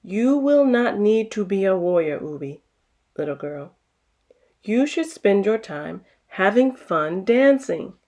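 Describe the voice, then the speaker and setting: A woman voicing a wise, gentle village elder and warrior father in a storybook, measured and patient. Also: medium pitch, big pitch swings. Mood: patient, fond.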